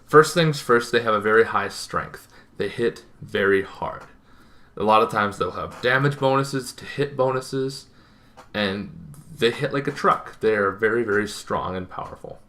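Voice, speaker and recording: A young man hosting an informal explainer to camera, speaking emphatically with halting, dramatic pauses. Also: on the low side, sharp tone. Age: young adult. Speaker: host of a tabletop gaming series. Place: bedroom, indoors.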